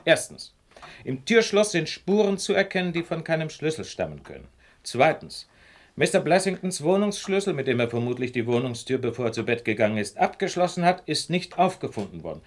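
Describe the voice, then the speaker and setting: An actor playing a brilliant detective in a German radio play, precise and didactic, with ironic, condescending politeness. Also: male voice, a little high, big pitch swings, a little fast. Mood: emphatic, lecturing. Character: brilliant male detective, superior, calmly lecturing, ironic.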